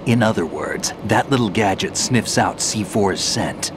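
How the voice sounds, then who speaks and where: A seasoned, dry-witted soldier speaks in a low, gruff voice with a laconic delivery. The audio is noisy, with clear background sound under the game cutscene. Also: male voice, expressive, unhurried. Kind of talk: video game cutscene dialogue.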